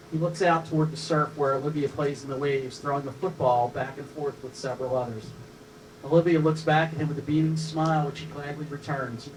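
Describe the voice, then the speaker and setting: A man's voice: a little high, distant mic. Man narrating a screenplay, off-mic and noisy. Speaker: narrator reading stage directions. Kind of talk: scripted screenplay narration at a live table read. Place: live film festival table read.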